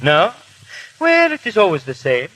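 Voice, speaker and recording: High, bright, chatty French barber. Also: male voice, wildly swooping pitch, normal speed, exaggerated voice, low-quality recording. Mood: gleefully grisly. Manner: chatty, relishing gory talk. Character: jovial, bloodthirsty French barber chatting while he shaves. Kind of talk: 1930s radio acting.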